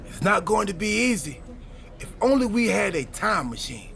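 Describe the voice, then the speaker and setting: A man playing an excitable, goofy time-travelling wrestler in a video game cutscene, wisecracking through dramatic exposition. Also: high voice, normal speed, gravelly, full character voice. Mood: eager planning.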